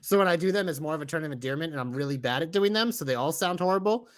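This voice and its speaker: A man with a Boston accent co-hosting a casual wrestling podcast, loud and profane, slipping into shouted impressions. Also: fairly high pitch, expressive, racing. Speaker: podcast co-host.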